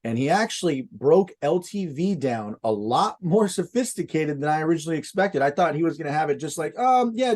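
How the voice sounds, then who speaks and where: A man's voice: medium pitch, warm tone. A man hosting an interview, talking informally and emphatically to his viewers, often calling them guys. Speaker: host, junk removal business owner. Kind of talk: casual interview chat.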